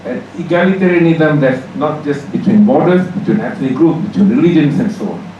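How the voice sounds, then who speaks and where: A man moderating an academic event, making impromptu remarks to the audience in short, halting phrases. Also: on the high side, expressive, dull audio. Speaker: moderator and panel speaker. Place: lecture room at a university. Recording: echoey hall, distant mic, faint noise.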